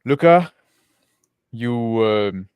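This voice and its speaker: Halting male game master, dry close sound. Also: mid-range voice, expressive, darkish tone, smooth, no room sound. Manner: halting, improvised narration. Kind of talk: narrating a tabletop roleplaying game.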